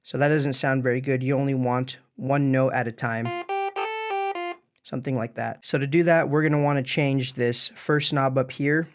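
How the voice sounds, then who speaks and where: A man with a mid-pitched voice walks through a piece of music gear step by step. He speaks casually and informally, like a presenter guiding someone who is following along, with easygoing instructions. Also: smooth.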